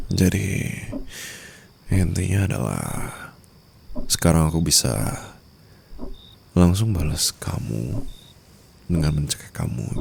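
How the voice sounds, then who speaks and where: A man's voice: a bass voice, vocal fry, a little mellow, slight background noise, heavily acted. A man playing a dominant, teasing rich husband in an intimate ASMR roleplay, taunting and possessive, mixing Indonesian with English.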